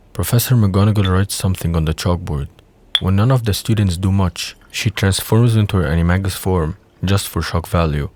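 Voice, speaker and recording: Deep-voiced male audio drama narrator. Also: darkish tone. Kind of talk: scripted audio drama narration, reading stage directions.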